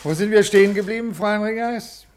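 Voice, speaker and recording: An actor playing a curt, self-important, fussy government official in a 1950s German radio play, with an officious, pedantic manner. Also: male voice, high voice, fast talker, a little hiss or hum, natural voice. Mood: officious, dictating. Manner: officious, pedantic, dictating punctuation aloud. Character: curt, self-important male housing bureaucrat, fussy and self-pitying.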